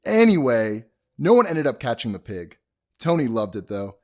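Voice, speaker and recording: Man acting an animated, chatty young husband. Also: medium pitch, normal speed, lightly acted. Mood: amused storytelling. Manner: informal, chatty storytelling. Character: folksy, excitable young husband, easygoing and cheeky. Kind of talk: audio drama acting.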